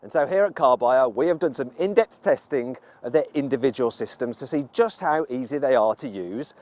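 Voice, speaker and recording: A man reading a steady, explanatory scripted introduction to a review series. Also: medium pitch, fairly quick. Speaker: video presenter. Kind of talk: scripted voice-over narration.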